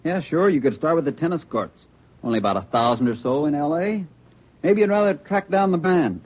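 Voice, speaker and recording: A husky-voiced police lab technician. He is businesslike and wry, in vintage radio drama style. The sound is slightly dull and lo-fi. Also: fairly high pitch, lively intonation, brisk. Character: male crime lab technician, wry, brisk, matter-of-fact. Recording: muffled old radio recording.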